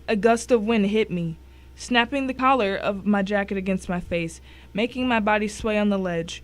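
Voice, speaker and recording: A woman's voice: mid-range voice, moderate pace, close to an everyday voice. A woman playing a tense first-person narrator in a dramatic suspense story. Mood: anxious, vivid.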